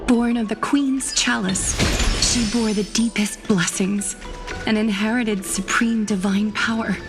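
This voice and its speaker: An actress voicing a loyal, reverent young siren sister in a fantasy game. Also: female voice, mid-range voice, fairly even intonation, a little slow, natural voice. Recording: loud music behind the voice.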